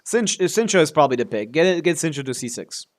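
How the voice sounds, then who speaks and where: A man's voice: a little high, very animated, quick pace. A man giving casual, offhand live stream commentary on a game, thinking aloud as he answers chat and swearing casually.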